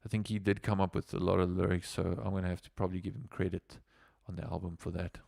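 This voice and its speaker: South African musician, low gravelly voice, dry sound, loose rambling storyteller. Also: male voice, little pitch movement, fast talker, darkish tone, dry recording. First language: Afrikaans. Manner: rambling, with many fillers and restarts. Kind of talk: casual livestream storytelling.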